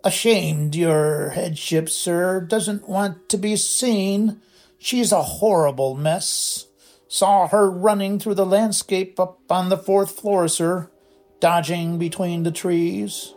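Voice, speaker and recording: Storybook poltergeist, male, voiced by a man: crackling, oily, sly and drawn-out, pitched up high. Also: darkish tone, soft background music. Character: mischievous male poltergeist, gleeful troublemaker.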